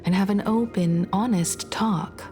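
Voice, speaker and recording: A woman playing a poised, gentle, scholarly young woman in a video game, speaking in a measured, articulate, reflective way. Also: mid-range voice, music in the background, close to an everyday voice. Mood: thoughtful, analytical. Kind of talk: video game story dialogue.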